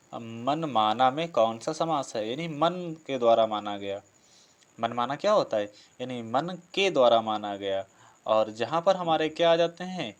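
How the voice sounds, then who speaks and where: A man from teaching like a coaching instructor, posing questions and explaining answers with frequent repetition. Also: mid-range voice. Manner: explanatory, repeats and rephrases answers, asks himself questions. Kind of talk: Hindi grammar lesson, working through practice questions.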